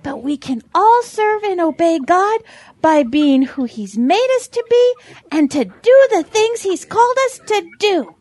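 Very high-pitched, pious little hen. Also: female voice, very animated, a little slow, a little mellow, no echo, heavily acted. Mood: earnest, preaching. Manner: earnest, preachy, patient. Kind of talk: audio drama acting.

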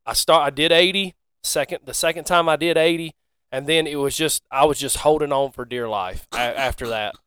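A man's voice: on the high side, normal speed, a bit bright, clear, resonant tone, dry recording, studio quality. A man with a Southern US accent telling stories in casual podcast chat, in a folksy, joking way.

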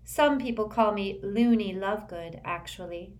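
A serene, unworldly teenage witch girl from a storybook, as a woman reader puts her on. Her voice floats up high and light, airy and lilting, saying strange things quite calmly. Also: normal speed, natural voice. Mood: dreamy. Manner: airy, matter-of-fact, lilting.

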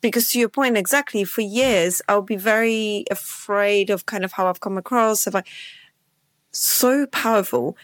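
A woman from Poland with Polish as her first language, hosting a podcast interview in an animated way, often repeating words as she goes. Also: medium pitch, moderate pace, no echo. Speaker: podcast host.

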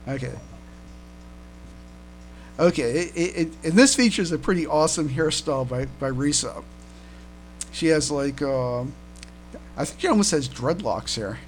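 A man co-hosting a casual fan podcast, speaking haltingly and often repeating his words as he comments. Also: on the high side, lively intonation. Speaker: podcast co-host. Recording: noisy home recording with hum.

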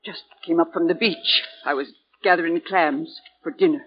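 An actress playing a superstitious, ominous housekeeper in a 1940s radio drama, speaking with insinuating foreboding. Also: female voice, on the low side, normal speed, lightly acted. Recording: noisy, dull 1940s radio recording.

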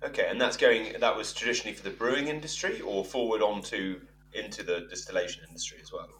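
Chatty male host, lowish voice, distant roomy mic with a faint hum. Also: quick pace, a bit bright. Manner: chatty, friendly, laughs easily. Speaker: podcast host. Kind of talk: casual interview chat.

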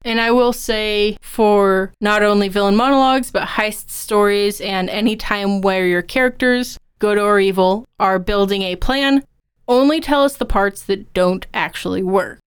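A woman with a strikingly clean, resonant voice at a middling pitch. She co-hosts a podcast as a writing instructor, laying out her opinions in an explanatory, conversational way. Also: unhurried, clean tone. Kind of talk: conversational craft discussion.

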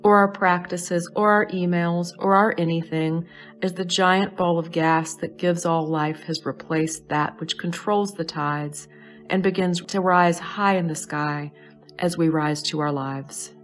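A woman with a slightly low voice leads a guided meditation. She speaks in short, soothing, measured phrases with pauses between them, over soft background music. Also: fairly even intonation. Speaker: astrology advisor leading a guided meditation. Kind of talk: slow guided meditation narration.

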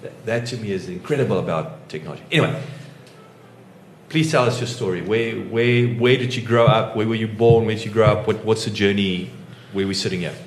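A man's voice: fairly low pitch, a little fast, reverberant, a little hiss or hum. A man hosting an interview, keen and chatty, who often repeats his first words as he starts a question. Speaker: podcast host, interviewer.

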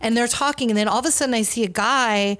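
A middle-aged woman hosting a podcast, talking in an animated, outspoken way and swearing freely. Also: on the high side, fast talker. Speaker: podcast host. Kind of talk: casual conversation.

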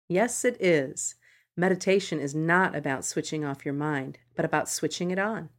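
A woman podcast host reading scripted meditation guidance in a measured, instructional manner. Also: deep voice, a little mellow. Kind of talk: scripted narration of a meditation article.

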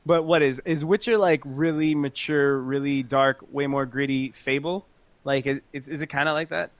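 A man's voice: mid-range voice, fairly even intonation, moderate pace, dry recording. A young man from Canada hosting a gaming podcast in casual, joking chat, swearing and laughing often. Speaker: podcast host.

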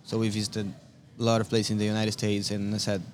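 A man in his twenties from Brazil, speaking English with a Brazilian accent as a non-native speaker, answering interview questions in a friendly, halting way. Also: on the low side, monotone, slight background noise. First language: Portuguese. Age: 20s. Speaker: guest soccer player. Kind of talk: casual interview. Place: brewery.

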